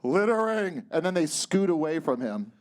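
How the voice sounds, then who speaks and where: A man's voice: a little high, very animated, moderate pace, darkish tone. A man from Hawaii, a comedian guest on a live stage, telling a chatty anecdote between laughs. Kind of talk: casual stage banter.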